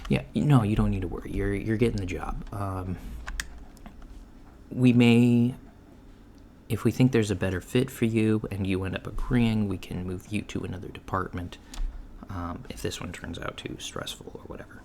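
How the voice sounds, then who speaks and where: A man playing an easygoing, reassuring alien job interviewer in a soft-spoken ASMR roleplay, talking casually and haltingly and trailing off. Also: fairly low pitch, steady tone. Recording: lo-fi with clear background noise. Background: computer keyboard typing.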